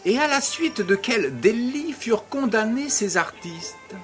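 An actor playing a jovial, pleasure-loving baron in an audio drama, with a grand, amused and urbane manner. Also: male voice, extremely high pitch, expressive, unhurried, a bit bright, faint music behind, exaggerated voice. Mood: intrigued, gracious.

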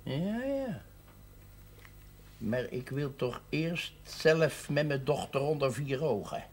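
A man's voice: on the high side, lively intonation, slow. An actor playing a blunt, suspicious, hot-tempered working-class father who dislikes the clergy, in a naturalistic 1970s television drama. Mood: grudging. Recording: audio of 1970s television broadcast, music.

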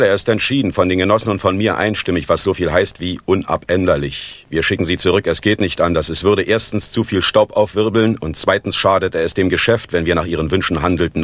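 A male actor plays a smug East German party official in a radio play. The voice is low and very dry, with a declamatory, sardonic delivery that turns every sentence into a cynical speech. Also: brisk, hardly any pauses, no room sound, natural voice. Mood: pompous, decisive. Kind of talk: theatrical radio play monologue.

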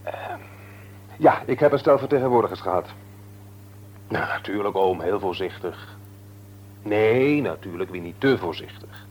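An actor playing an easygoing, dutiful married shopkeeper in a radio serial, talking naturally with a mildly wry manner. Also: male voice, lowish voice, lively intonation, measured pace, long pauses, a little hiss or hum. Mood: polite, dutiful. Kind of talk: Dutch radio serial drama acting.

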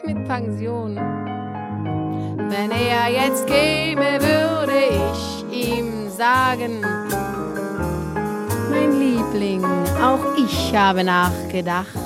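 A woman's voice: a little high, drawn-out words. An actress playing a nervous, status-minded young girlfriend, reciting fretful rhymes in a radio play. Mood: fretful, reproachful. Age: young adult. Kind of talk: radio play verse monologue to music. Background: loud piano music.

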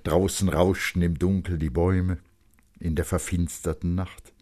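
A man narrating literary fiction in a dramatic, expressive and measured storytelling style. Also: low voice.

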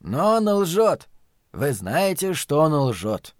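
A man's voice: fairly high pitch, sing-song, slow pace, no room sound, clean studio sound. Man voicing an older, courteous, wry academic. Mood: pleading. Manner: courteous, articulate, persuasive. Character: calm, smiling male academic, older, earnest and wry.

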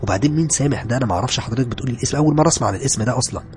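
A flustered young Egyptian housekeeper, read by a man insists she is telling the truth. Her voice sits higher and louder than the narration and runs quickly, with faint music underneath. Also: natural voice. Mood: puzzled. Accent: Egyptian Arabic. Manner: insistent, protesting.

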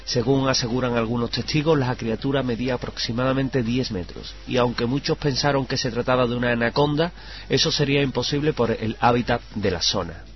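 A man's voice: mid-range voice, fairly quick. A man reading scripted news items on a radio show. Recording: dull radio sound with music. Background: background music.

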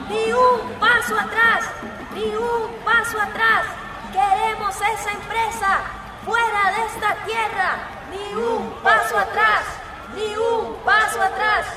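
A passionate village woman leading a protest in a radio drama. Her very high voice is emphatic and defiant, set against a noisy street crowd. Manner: declamatory, emphatic.

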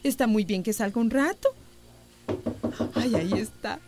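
An actress playing a fond, cheerfully encouraging confidante in a radio soap. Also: female voice, a little high, unhurried, heavy background noise, lightly acted. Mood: coaxing. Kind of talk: radio soap opera acting.

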